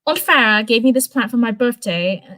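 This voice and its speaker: A woman voicing an earnest, expressive teenage girl in a read-aloud story. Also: a little high, normal speed. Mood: shy. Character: bubbly, earnest teenage girl who loves hugs and plants. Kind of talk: storybook character voice.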